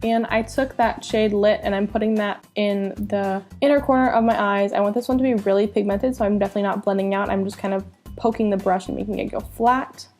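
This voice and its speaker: A woman's voice: mid-range voice, flat delivery, brisk, a little mellow, soft background music. A young woman with a nasal voice from a cold, chatting upbeat through a makeup tutorial as a beauty vlogger.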